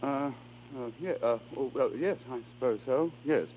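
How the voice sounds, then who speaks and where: An actor playing a harried, exasperated husband of an opera star, flustered but emphatic, in 1930s radio drama style. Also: male voice, medium pitch, moderate pace, close to an everyday voice. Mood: uneasy. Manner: flustered, hesitant, then emphatic. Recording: scratchy, narrow 1930s radio recording with hum.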